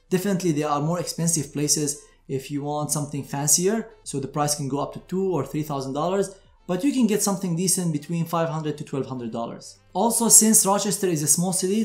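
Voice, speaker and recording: A man's voice: fairly high pitch, darkish tone, roomy sound. A man in his thirties giving a structured, explanatory talk to camera, like a doctor advising graduates. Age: 30s. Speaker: doctor presenting advice to medical graduates. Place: indoor room with shelves, seated. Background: music.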